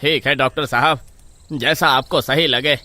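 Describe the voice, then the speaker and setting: A man's voice: medium pitch, steady tone, moderate pace, bright, forceful tone, no echo. A man playing a worried but determined young village husband in an audio story, speaking earnestly and firmly.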